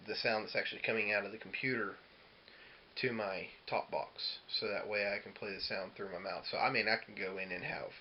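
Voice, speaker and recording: A man giving a casual, hesitant how-to demo as a musician, explaining things informally and loosely. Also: fairly low pitch. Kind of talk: casual instructional demo talk. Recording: dull lo-fi audio with clear hiss.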